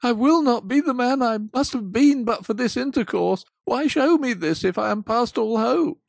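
A woman voicing a frightened old miser who pleads loudly and urgently, in a dry, close recording. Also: on the low side, lively intonation, a little slow, no echo. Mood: desperate. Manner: loud, urgent, beseeching.

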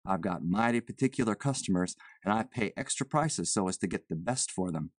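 A woman's voice: extremely low pitch, expressive, fairly quick, darkish tone, no room sound, studio quality, pitched below the performer's own voice. A woman voicing a nervous, evasive man who poses as a chicken buyer, with folksy, ungrammatical, rambling talk. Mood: nervous, persuasive.

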